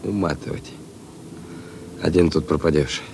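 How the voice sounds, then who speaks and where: An actor playing a hungry, suspicious petty pickpocket in a radio play, speaking in short colloquial replies. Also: male voice, very deep voice, steady tone, unhurried, warm tone, full character voice. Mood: uneasy, suspicious. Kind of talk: Russian radio play acting. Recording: clear ambient noise behind.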